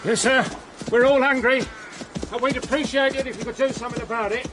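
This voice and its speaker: An actor playing a hungry common soldier in a radio drama, respectful but complaining. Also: male voice, very high voice, fairly even intonation, fairly quick. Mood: aggrieved. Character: hungry, aggrieved rank-and-file soldier.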